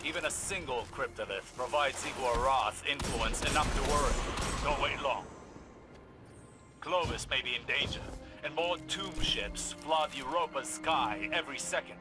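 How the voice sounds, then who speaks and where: A man's voice: mid-range voice, moderate pace, close to an everyday voice. A man voicing a stern, warlike alien warrior in a video game, with formal, solemn, commanding phrasing. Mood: grave, ominous. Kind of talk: dramatic video game voice acting. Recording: loud music behind.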